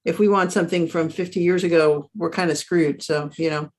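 A woman with a rough-edged, somewhat low voice speaks as a podcast co-host. Her talk is informal and conversational. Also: steady tone, a little fast, a little mellow. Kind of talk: casual chat.

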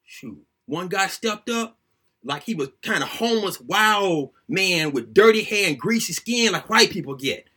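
A man reading a first-person story aloud in an animated, conversational, colloquial way, like someone recounting childhood memories. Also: high voice, unhurried, slightly rough.

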